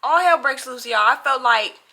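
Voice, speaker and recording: A young woman with a high, bright voice tells a story straight to her viewers. Her delivery is casual and slangy, with dramatic emphasis as she plays out who said what. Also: normal speed, husky. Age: young adult. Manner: dramatic, slangy, acts out dialogue. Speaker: storytime vlogger.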